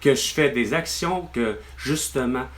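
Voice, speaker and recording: A man's voice: medium pitch, measured pace, fairly bright tone. Exasperated man with a Québécois accent. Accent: Québécois French. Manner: rambling, exasperated, swears often. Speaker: vlogger. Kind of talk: venting on a phone call with his mother.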